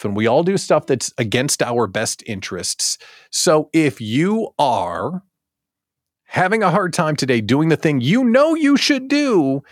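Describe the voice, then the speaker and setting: A man with a mid-pitched voice hosts a casual live show in a dry, close sound. His delivery is theatrical and exaggerated, and his pitch moves up and down a great deal as he talks directly to his audience. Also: dry recording. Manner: animated, theatrical, with mock disbelief and exaggerated emphasis. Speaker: host of a daily live show for small business owners. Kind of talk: casual live monologue to viewers.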